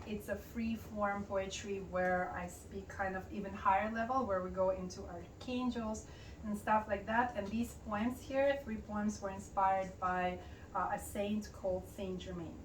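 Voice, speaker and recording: A woman's voice: medium pitch, fairly even intonation, measured pace. A woman whose first language is Russian, chatting as an interview guest at home and explaining with many fillers. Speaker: interview guest, poet and artist. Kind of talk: casual interview. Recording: roomy, distant mic, faint hiss.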